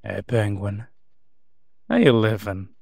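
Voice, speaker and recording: A man's voice: lowish voice, animated, slow, creaky, darkish tone, dry recording, heavily acted. A man playing a brash, sarcastic masked vigilante with dry, taunting banter in an audio roleplay. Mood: mocking.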